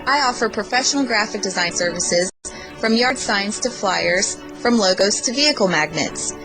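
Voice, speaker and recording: A woman's voice: mid-range voice, animated, normal speed, low-quality recording. A woman reading a scripted promotional advertisement. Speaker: advertiser, graphic designer. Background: music.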